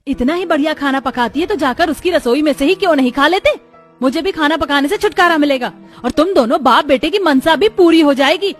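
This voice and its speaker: A woman's voice: sits high, fairly quick, soft background music, pitched above the performer's own voice, lightly acted. A woman voicing an irritable, lazy and haughty housewife in a cartoon story, grumbling and scornful. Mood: angry, scornful. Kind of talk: Hindi cartoon story acting.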